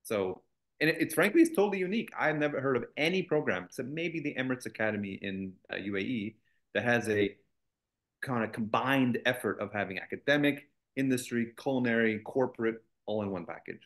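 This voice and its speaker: A man's voice: medium pitch, animated, brisk, a bit bright. A man, a college executive as interview guest, speaking fluently with confident, joking ease. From United States. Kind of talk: interview conversation.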